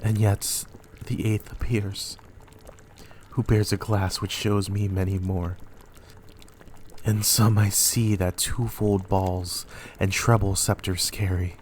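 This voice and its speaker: A low-voiced, tormented tyrant king, played by a man, imperious and ruthless. He declaims Shakespearean verse slowly and weightily, with faint noise and effects behind him. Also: flat delivery, a little mellow. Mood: horrified. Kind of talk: dramatic Shakespeare reading.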